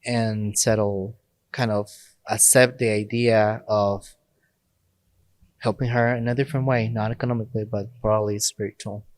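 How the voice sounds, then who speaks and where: A young adult Mexican-born priest talks easily in a dry studio, in a lowish voice. His English has a first-language flow, broken into short phrases, with frequent laughs. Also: moderate pace, no room sound. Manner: relaxed, laughs often, speaks in short phrases. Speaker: priest, podcast guest. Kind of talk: casual interview talk.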